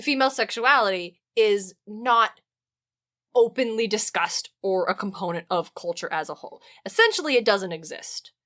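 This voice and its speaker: A woman co-hosting a podcast in a casual comedic discussion, animated and opinionated. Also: mid-range voice, moderate pace. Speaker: podcast co-host.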